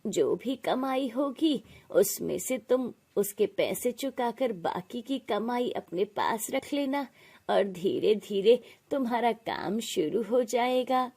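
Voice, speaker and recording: A woman voicing a fatherly, benevolent male temple priest in an animated moral story, soothing and reassuring. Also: a little high, takes it slowly, vocal fry, husky, full character voice. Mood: encouraging.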